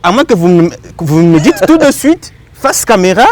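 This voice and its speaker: A man hosting a political interview, challenging his guest in halting phrases with examples and questions. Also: sits high, sing-song, a little mellow. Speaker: TV interviewer and host.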